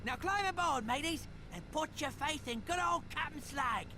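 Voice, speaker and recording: A man's voice: extremely high pitch, animated, normal speed, background noise. A man voicing a boastful, scheming robot pirate first mate in a video game, with theatrical, jaunty pirate talk. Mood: gleeful, boastful. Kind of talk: video game cutscene acting.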